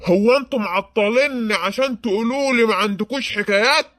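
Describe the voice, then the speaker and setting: A man acts out an impatient little Egyptian grouch from a comic cartoon. His voice is high and closely recorded with no room sound, and he complains in a pushy, confrontational way. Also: fast talker, bright, forceful tone, no echo, clean studio sound. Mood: grumbling. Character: grumpy, impatient, demanding flea neighbour.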